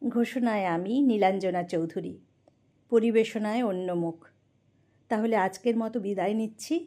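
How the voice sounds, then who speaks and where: A woman announcer giving formal, measured scripted narration and credits. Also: medium pitch, warm tone.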